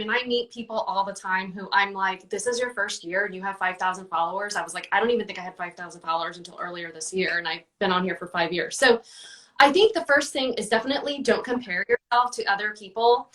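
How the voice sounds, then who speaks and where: A woman's voice: medium pitch, fast talker, fairly bright tone, distant mic, rough recording. A woman answering interview questions in a casual, chatty way, with many fillers and restarts. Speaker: guest, book reviewer.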